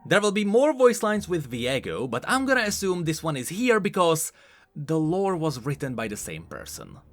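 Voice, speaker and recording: A man's voice: a little high, wildly swooping pitch, moderate pace, a little hiss or hum. A man hosting a scripted explainer on video game lore, talking informally with emphatic, swooping stresses.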